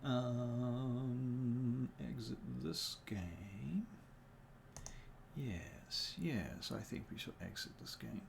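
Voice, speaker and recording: A man's voice: medium pitch, gravelly, slight background noise. A tired man from New Zealand chatting casually on a livestream as a dungeon master, thinking aloud, muttering and humming to himself, speaking in a put-on accent rather than his own. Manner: deliberately speaking in a put-on non-native accent. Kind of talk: casual livestream chat while working.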